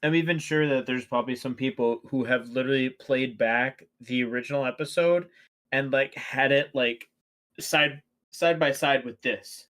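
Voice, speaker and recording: A man's voice: medium pitch, moderate pace, a bit bright. A man chatting casually during a watchalong stream, rambling with many restarts and fillers. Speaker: watchalong co-host. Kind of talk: casual reaction chat.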